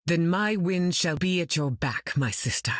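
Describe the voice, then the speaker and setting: An actress playing a dignified, measured heroine in a game. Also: female voice, fairly low pitch, expressive, dry recording, clean studio sound, lightly acted. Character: dignified weather-controlling heroine woman.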